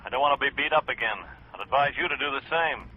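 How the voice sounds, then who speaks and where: A man's voice: mid-range voice, quick pace, natural voice. A man playing a wary, battered townsman in a 1950s radio drama, subdued and resigned. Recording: dull, noisy old radio recording.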